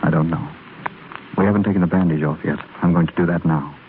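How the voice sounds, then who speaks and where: An actor playing an earnest, anguished doctor who speaks haltingly in a 1930s radio drama. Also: male voice, low voice, steady tone. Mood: grave. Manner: halting, stammering when troubled. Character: earnest, anguished male doctor longing for lost love. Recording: scratchy, muffled 1930s radio broadcast.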